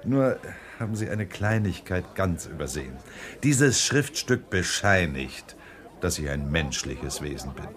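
An actor playing a composed, precise lawyer and political candidate in a radio drama, speaking calmly and politely. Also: male voice, low-pitched, measured pace, full character voice. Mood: cool. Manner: calm, precise, coolly polite. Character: suave composed male lawyer-politician suspected robot. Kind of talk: 1960s-70s radio drama acting.

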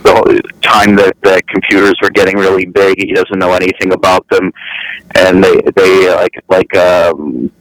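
Guest caller: man with a lowish voice, halting and self-deprecating, lots of restarts. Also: level tone, a bit bright, dry recording, rough recording. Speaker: guest, novelist. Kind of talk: casual remote chat. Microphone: calling in remotely, hard to hear others. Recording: radio talk show.

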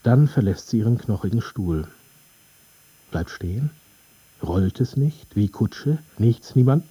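An actor playing a brooding, sardonic narrator in a literary radio play, speaking in terse, dry, deliberate fragments. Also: male voice, lowish voice, lively intonation, warm tone, husky, no room sound, lo-fi sound, heavily acted. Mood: wry, observing.

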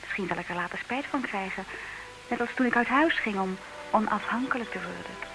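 A woman's voice: medium pitch, a little fast, over music, lightly acted, low-quality recording. A woman playing a wry, independent-minded, slightly old-fashioned single lady in a vintage comic radio play, polite and dryly teasing. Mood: wistful, reflective.

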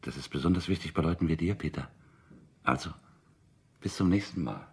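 An actor playing a smug, watchful official in a radio drama, needling and falsely friendly, with a raspy, gravelly voice. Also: male voice, rumbling low, a little fast, a little mellow, full character voice. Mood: veiled threat.

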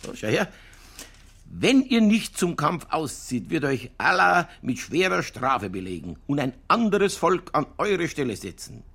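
Gravelly, stubborn Bavarian detective. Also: male voice, fairly high pitch, sing-song, unhurried, fairly bright tone, exaggerated voice. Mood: declaiming, insistent. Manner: colloquial, opinionated, holding forth. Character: stubborn, provocative, earthy Bavarian male police detective. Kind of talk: German radio crime drama acting.

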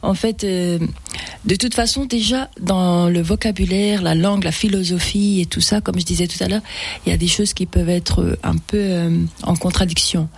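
Hesitant woman singer, gravelly voice. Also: on the low side, fairly even intonation, normal speed. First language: Fula. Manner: hesitant, many restarts and repeated words. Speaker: singer guest. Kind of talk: casual interview chat.

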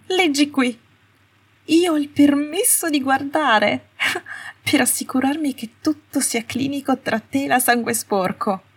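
A woman voicing a haughty, sarcastic young witch in an audiobook, with a small, brittle, high-strung voice. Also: a little slow. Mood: hysterical, furious. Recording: roomy, slightly distant home recording.

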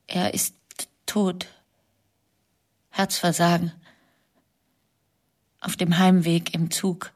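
An actress playing a grieving middle-aged widow in a German radio drama, speaking in a hushed, halting, confessional way with pauses between short phrases. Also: female voice, fairly low pitch, creaky, heavily acted. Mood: subdued grief. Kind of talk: German radio drama acting, intimate monologue.

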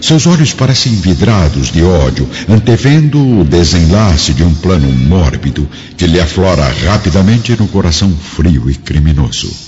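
A man narrating a radio drama in a solemn, literary, measured and dramatic style. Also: sits low, very animated. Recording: dull radio drama audio with music.

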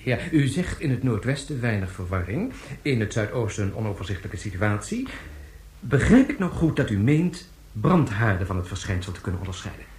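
A man's voice: fairly low pitch, very animated, fairly quick, a little mellow. Polite male reporter, echoing room. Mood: probing, polite. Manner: formal, questioning, hesitant. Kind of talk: radio drama acting. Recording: roomy, echoing radio drama recording.

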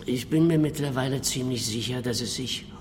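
An actor playing a well-connected older father in a radio play, self-assured, discreet, measured and knowing. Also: mid-range voice, lightly acted. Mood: knowing, guarded. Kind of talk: radio drama dialogue. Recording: long echo, noisy cellar-like ambience.

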